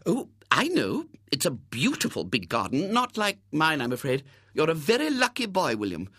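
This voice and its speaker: A man's voice: on the high side, wildly swooping pitch, moderate pace, sharp tone, slightly rough, dry recording, clean studio sound, pitched above the performer's own voice, heavily acted. A man reading aloud and voicing a fussy, genteel middle-aged aunt who chatters.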